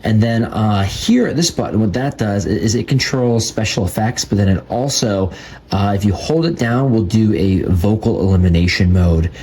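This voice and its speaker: A man's voice: on the low side, normal speed, dark tone, a little hiss or hum. A man giving a casual, approving product demo in an informal, step-by-step way. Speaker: product reviewer.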